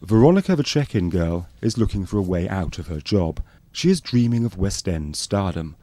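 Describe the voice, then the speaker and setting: Low-voiced man narrating a spoof documentary. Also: animated, normal speed, mellow, dry recording.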